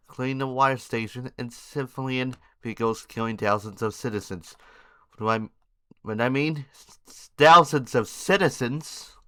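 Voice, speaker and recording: A man hosting an amateur news podcast, reading headlines haltingly with informal asides and stumbles over words and names. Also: mid-range voice, bright, forceful tone, clean tone.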